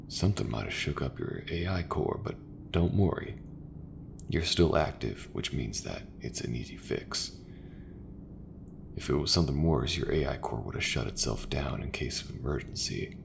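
A man playing an easygoing, folksy sci-fi mechanic in a soft, breathy, reassuring ASMR roleplay voice. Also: extremely low pitch, background noise, full character voice. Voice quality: gravelly, breathy, half-whispered. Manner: slow, unhurried, reassuring, colloquial. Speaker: voice actor.

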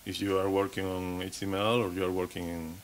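Halting man giving a tech talk. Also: low-pitched, level tone, a little hiss or hum. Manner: halting, many restarts and repeated words. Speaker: conference speaker. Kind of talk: technical conference talk. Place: conference stage.

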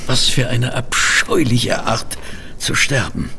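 An actor playing a detective's genteel companion and storyteller in an audio drama, gravelly and expressive. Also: male voice, mid-range voice, slow pace, slight background noise. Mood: appalled, dry. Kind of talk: German radio play acting.

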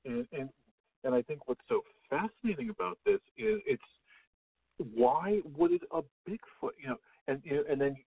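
A male radio host chatting in an effusive, halting way, repeating himself, over a phone-like line. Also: on the high side, huge pitch swings, creaky, husky, dry recording. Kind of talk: casual radio interview. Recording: phone-like narrow band line.

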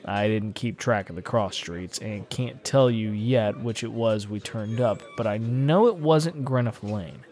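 A man's voice: fairly low pitch, measured pace, hardly any pauses, a little mellow. A man narrating a classic horror story in a dramatic, literary audiobook style.

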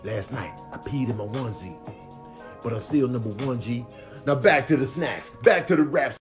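A man with an American accent voicing a cocky toddler who raps boastful rhymes in a low-key spoken flow. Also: normal speed, over music, close to an everyday voice. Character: cocky little toddler rapping tough about breakfast. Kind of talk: rhyming spoken rap.

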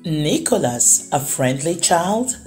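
A woman reading a children's book aloud as a storyteller, expressively and with deliberate, dramatic pauses. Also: sits low, very animated, a little mellow, faint music behind.

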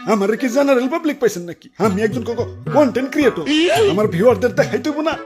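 An extremely high-pitched cartoon lad, plays a vain young content creator. He boasts with great self-importance and stutters on words. Music is heard behind him. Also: lively intonation, fast. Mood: impatient, boastful. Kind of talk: comic cartoon dialogue.

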